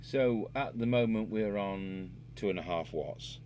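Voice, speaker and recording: A man's voice: lowish voice, measured pace, darkish tone, faint music behind. A man giving a casual, unscripted hands-on repair demonstration, musing aloud as he works. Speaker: radio repair hobbyist and video presenter.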